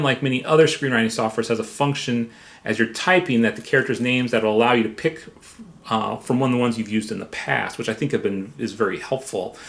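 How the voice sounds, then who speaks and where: A man hosting a software review in a casual, informal way, somewhat halting, with playful repetition for emphasis. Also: mid-range voice. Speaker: software reviewer and video host.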